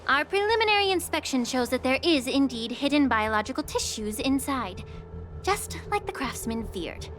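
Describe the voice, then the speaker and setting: A woman voicing a calm, gracious young woman alchemist in a game, speaking gently and reflectively. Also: sits high, normal speed. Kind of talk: game voice acting. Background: game music.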